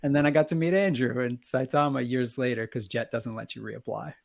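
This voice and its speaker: A man co-hosting a casual interview, with a mid-pitched, gravelly voice. He tells stories in a relaxed way, with plenty of uhs and ums. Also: brisk. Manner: relaxed storytelling with fillers. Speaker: co-host.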